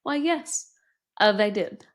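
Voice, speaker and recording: A woman's voice: mid-range voice, lively intonation, slow pace. A woman co-hosting a podcast, telling funny stories and acting out quotes. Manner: playful, quotes herself in exaggerated voices. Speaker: podcast co-host, nurse anesthetist. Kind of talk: casual chat.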